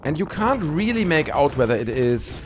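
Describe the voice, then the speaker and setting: A man's voice: fairly high pitch, very animated, normal speed, slight background noise. A man hosting an online video, giving casual, conversational commentary on clips with frequent tag questions. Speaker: YouTube host.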